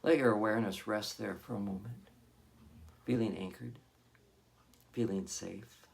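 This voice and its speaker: Guy doing guided meditation, really slow and gentle, gravelly low voice, lots of pauses. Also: fairly even intonation. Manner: gentle, soothing instruction with long pauses. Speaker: hypnotherapist leading guided meditation.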